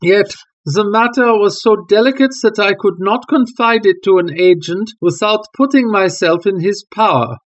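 A man's voice: high-pitched, normal speed, voice pushed up, natural voice. A man voicing a haughty, agitated European king who speaks in a formal, stately way. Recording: dull lo-fi recording.

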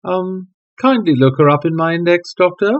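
A man's voice: high-pitched, normal speed, voice pushed up. A man voicing a languid, sardonic detective who speaks in a dry, murmured way. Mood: musing. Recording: dull lo-fi recording.

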